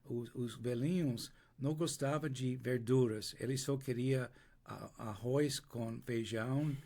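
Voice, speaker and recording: An older man whose first language is English, speaking Portuguese with an American accent in an interview, telling his story slowly with many restarts and pauses while he searches for words. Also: medium pitch, fairly even intonation, gravelly, dark tone. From United States. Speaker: interview guest.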